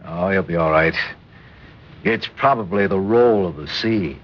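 An actor playing a loyal, level-headed junior navy officer in a radio drama, reasonable and matter-of-fact. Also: male voice, low voice, unhurried, over loud music. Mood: calm, reassuring. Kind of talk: 1960s radio drama acting. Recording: muffled, noisy vintage radio recording.

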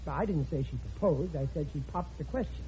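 A man's voice: a little high, fairly quick, warm tone, lightly acted. An actor playing a blustery, folksy middle-aged American working-class father in an old radio sitcom. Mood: genial, relieved. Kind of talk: 1950s radio sitcom acting. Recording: muffled old radio recording, faint noise.

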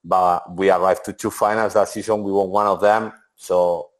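A man's voice: low-pitched, flat delivery, normal speed. A man in his forties, a former footballer and interview guest, speaking with many restarts and "to be honest". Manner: non-native English, frequent "to be honest" and restarts. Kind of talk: relaxed interview answers.

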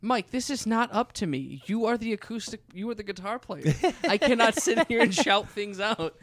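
A man's voice: sits high, animated, moderate pace, a bit bright. A man co-hosting a podcast in casual banter, joking and teasing as he talks. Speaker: podcast co-host and musician.

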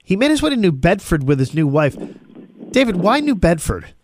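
A man hosting a radio interview, reading introductions and putting questions with deliberate, presenterly phrasing. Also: sits high, very animated, dry recording, clean studio sound.

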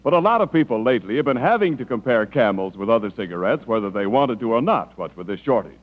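A man delivering a polished, measured commercial pitch as a 1940s radio announcer. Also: big pitch swings. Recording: muffled 1940s radio broadcast.

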